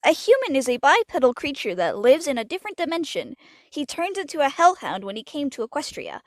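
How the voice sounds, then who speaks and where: Casting: woman to play learned, encouraging pony princess for cartoon audio drama; high pitched, very expressive, dry sound. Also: brisk, dry recording. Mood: explaining. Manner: explanatory, reassuring. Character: bright scholarly adult pony princess, encouraging aunt.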